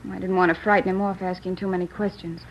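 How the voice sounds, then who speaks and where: A woman's voice: on the low side, flat delivery, fast, natural voice. An actress playing a businesslike parole officer in a radio drama, matter-of-fact in her delivery. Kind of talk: 1950s radio drama acting. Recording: muffled old radio, faint noise.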